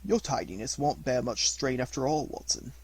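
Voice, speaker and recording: A man voicing a self-assured, mischievous Victorian gentleman detective in an audiobook, with measured, formal phrasing. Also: medium pitch, animated, breathy edge. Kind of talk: audiobook character reading.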